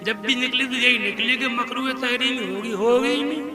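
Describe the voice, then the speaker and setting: Maulana guy preaching in plain chatty talk, pretty high voice, some music behind him. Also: fairly even intonation, moderate pace. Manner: conversational, checks listeners understand, mimics others' scolding. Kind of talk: colloquial Islamic sermon.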